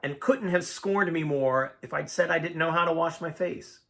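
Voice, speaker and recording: A man reading a story aloud as narrator, with a dramatic, expressive, folksy delivery. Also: on the high side, normal speed, gravelly. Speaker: amateur story reader.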